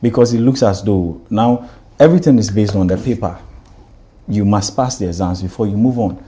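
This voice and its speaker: A man hosting a talk show, asking measured questions and affirming his guest with short replies. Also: on the low side, warm tone. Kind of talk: interviewing a guest.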